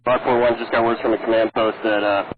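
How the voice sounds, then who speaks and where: A man's voice: on the low side, level tone, fast. A man speaking as a military fighter pilot in clipped, businesslike calls over a radio transmission. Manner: terse, businesslike. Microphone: cockpit radio link recorded off a scanner. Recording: narrow, static-laden radio scanner audio.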